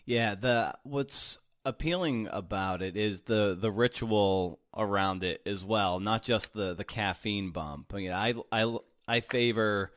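Deep-voiced chatty podcast host. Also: male voice, measured pace, hardly any pauses, fairly bright tone, no room sound, studio quality. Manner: chatty, with fillers and restarts. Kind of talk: casual interview podcast.

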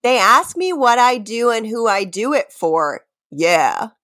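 A woman's voice: fairly high pitch, sing-song, unhurried, fairly bright tone. A woman hosting a podcast in casual comedic chat, with a teasing manner and a theatrical streak when reading lyrics. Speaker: podcast host.

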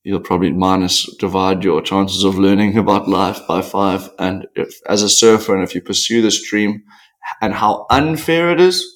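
A man from Africa, a podcast guest who talks candidly and tells rambling, animated stories. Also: low-pitched, moderate pace. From South Africa. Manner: animated, rambling storyteller with acted-out quotes. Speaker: podcast guest, professional surfer. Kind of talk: candid interview conversation.

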